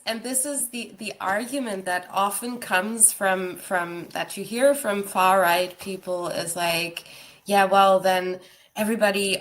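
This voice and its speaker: Frank woman guest on a video call. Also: medium pitch. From Finland. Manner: frank and animated, with fillers and little laughs. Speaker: podcast guest. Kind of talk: casual interview chat.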